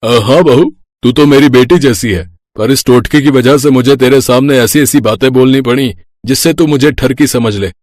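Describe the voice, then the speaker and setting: A middle-aged father-in-law played by a man in a cartoon family tale. He is earnest and apologetic as he explains. His mid-pitched voice is recorded very dry. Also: moderate pace, a little mellow, no room sound, close to an everyday voice. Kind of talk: Hindi cartoon story voice acting.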